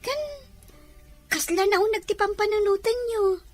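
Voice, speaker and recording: A woman's voice: very high voice, steady tone, moderate pace, no echo, faint music behind. A woman playing a sweet, caring, inquisitive young woman in an Ilocano audio drama. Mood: curious, concerned.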